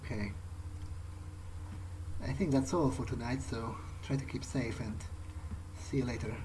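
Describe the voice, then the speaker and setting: A man's voice: mid-range voice, fairly even intonation, unhurried, noisy background. A man playing a video game in character, thinking aloud in hesitant, muttered asides to himself. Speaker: gameplay streamer role-playing in character. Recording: loud game music behind.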